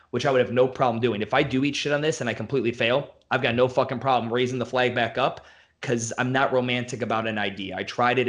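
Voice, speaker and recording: A gym owner who talks in blunt, profane bursts, piling one example on the next. His voice sits at an ordinary middle pitch. It is relaxed, unscripted podcast talk. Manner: blunt, profane, fast-flowing rants. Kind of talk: casual podcast conversation.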